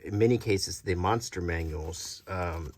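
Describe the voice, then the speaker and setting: A middle-aged man giving a casual show-and-tell as a hobby collector, talking softly in an unhurried, halting way. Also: deep voice, slight background noise. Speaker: hobby collector presenting a video.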